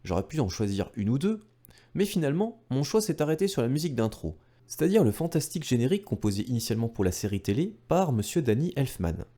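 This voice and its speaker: A man's voice: mid-range voice, big pitch swings, fast, dark tone. A man narrating a retro gaming video from a script, enthusiastic and speaking directly to viewers with casual asides. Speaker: video creator and host.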